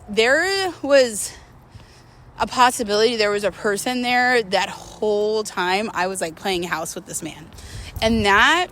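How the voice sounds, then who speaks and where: A woman's voice: a little high, a bit bright, slight background noise. A woman talking casually and confessionally to her viewers, in a candid, rambling, emphatic way with slangy wording. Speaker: host of a personal vlog series.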